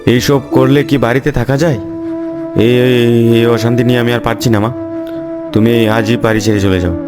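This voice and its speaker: A man's voice: mid-range voice, steady tone, normal speed, music in the background, close to an everyday voice. A man playing an exasperated adult son scolding in a cartoon folk tale. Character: exasperated adult son evicting his mother. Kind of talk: Bengali moral folk-tale cartoon dub.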